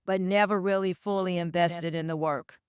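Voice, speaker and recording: A middle-aged woman with a Southern accent speaks in a smooth, clear voice, measured and emphatic as she answers an interviewer. The sound is dry and close, with no room around it. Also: fairly low pitch, steady tone, a little mellow, no echo. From Chicago with Southern family roots. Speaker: guest, DEI director.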